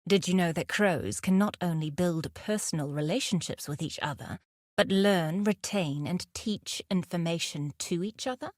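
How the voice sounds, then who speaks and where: Low-voiced, fierce huntress played by an actress. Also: female voice, normal speed, a little mellow, no echo, clean studio sound, close to an everyday voice. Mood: wry, thoughtful. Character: fierce, guarded huntress outlaw, wry nature lover. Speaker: video game character voice actor. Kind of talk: scripted game character lines.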